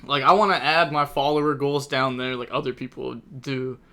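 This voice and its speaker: Bright-voiced young male streamer. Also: mid-range voice, steady tone, moderate pace. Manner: chatty, rambling, thinks aloud with many fillers. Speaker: streamer commentating a card game tournament. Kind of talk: casual live-stream commentary.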